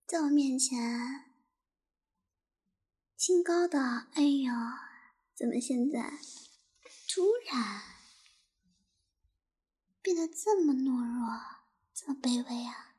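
A woman's voice: sits high, lively intonation, takes it slowly, long pauses, husky, full character voice. A young woman voicing a cruel, taunting tormentor in an intimate audio roleplay, teasing and mocking, often sinking into a whisper. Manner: laughs softly between taunts.

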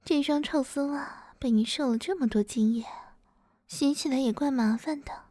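A woman voicing a dominant, teasing middle-aged mother-in-law in an intimate adult audio roleplay, often slipping into breathy whispers and giving slow commands. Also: high-pitched, dark tone, no room sound, lightly acted. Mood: seductive, teasing.

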